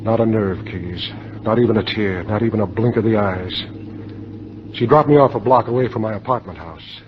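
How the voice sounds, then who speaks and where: A man's voice: lowish voice, normal speed, background noise, lightly acted. An American man acting a hard-boiled insurance salesman confessing to murder in a terse, matter-of-fact 1950s radio style. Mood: grim, confessional. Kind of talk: 1950s radio drama acting, first-person noir narration. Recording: dull old radio broadcast, loud music behind.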